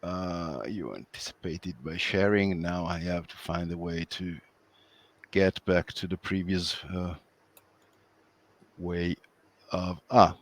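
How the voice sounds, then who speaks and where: A man moderating an online webinar over a video call, talking in a hesitant, halting way with many fillers. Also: low-pitched, fairly even intonation.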